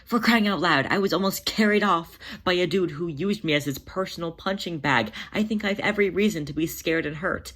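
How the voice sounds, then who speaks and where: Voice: mid-range voice, fairly quick, few pauses, a bit bright, pitched above the performer's own voice, natural voice. A narrator voicing an anxious female cat who is wary, hesitant and defensive. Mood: defensive, indignant.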